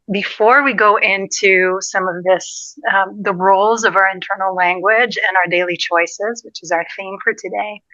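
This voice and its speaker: A woman's voice: mid-range voice, pushed and bright, no echo, muffled recording. A woman hosting a podcast, introducing her guest in a friendly way. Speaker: podcast host. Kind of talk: podcast interview.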